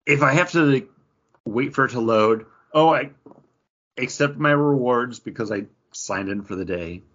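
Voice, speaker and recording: A man co-hosting a podcast in casual chat, rambling and animated, acting out tapping through game menus. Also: mid-range voice, normal speed, lo-fi sound. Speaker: podcast co-host.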